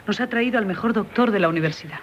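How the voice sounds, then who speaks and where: A woman's voice: mid-range voice, quick pace, slight background noise, natural voice. Actress as an earnest, emotional young woman. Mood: relieved, tender. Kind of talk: 1970s Spanish radio drama acting.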